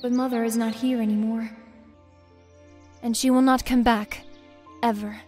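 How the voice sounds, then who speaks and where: A woman's voice: a little high, level tone, moderate pace, darkish tone, faint music behind, lightly acted. A woman voicing a cold, haughty teenage crown princess, narrating formally in a visual novel. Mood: cold, disdainful. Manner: formal, disdainful. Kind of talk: scripted first-person visual novel narration.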